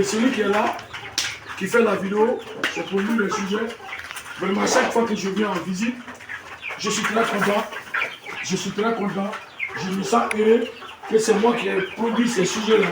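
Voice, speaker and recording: A man in his fifties with a Malian French accent, a poultry breeder talking proudly to camera on his farm, repeating himself and restarting his words. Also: high-pitched. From Mali. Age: 50s. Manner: proud, repetitive, stumbling restarts. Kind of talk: farm tour talk to camera. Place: poultry farm with clucking hens. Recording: echoey, far from the mic, noisy farm.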